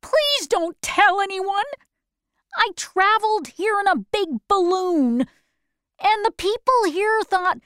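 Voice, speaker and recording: A woman voicing a timid old man who poses as a wizard, pleading and apologetic, read slowly in a storybook. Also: very high-pitched, fairly bright tone, no room sound, studio quality, pitched above the performer's own voice, full character voice. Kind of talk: slow storybook reading.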